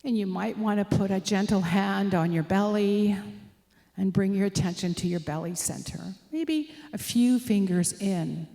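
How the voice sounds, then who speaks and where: A woman leading a guided meditation, giving gentle, inviting instructions as soft suggestions. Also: medium pitch, moderate pace, gravelly, faint music behind. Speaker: meditation leader.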